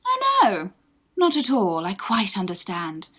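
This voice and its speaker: A woman's voice: mid-range voice, big pitch swings, a little slow, a bit bright, husky, heavily acted. An actress playing a polite, composed young Victorian lady in a radio play, with a proper, formal manner. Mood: polite, coy. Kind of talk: period stage acting for radio play.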